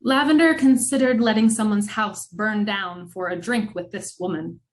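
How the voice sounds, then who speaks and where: A woman narrating a scripted film-noir radio drama in measured, dramatic storytelling with wry asides. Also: medium pitch.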